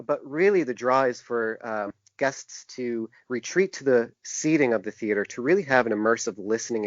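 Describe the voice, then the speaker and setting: A man with a mid-range voice talks casually as an interview guest. He is thoughtful and halting, restarting phrases as he works out his ideas. The sound is close and dry, slightly dull in fidelity. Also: medium pitch, no room sound. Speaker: podcast guest, author and publisher.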